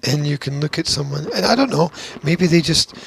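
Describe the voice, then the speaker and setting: A male podcast host with a gravelly, fry-laden voice pitched a little high. He chats casually but argues his opinions with emphasis, often halting to restate a point. A faint noise sits behind him. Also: expressive. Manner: argumentative, halting, emphatic, swears.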